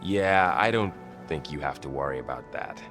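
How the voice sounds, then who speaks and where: An earnest, animated young warrior. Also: male voice, a bass voice, moderate pace, faint music behind, exaggerated voice. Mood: earnest, sheepish. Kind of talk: naturalistic video game acting.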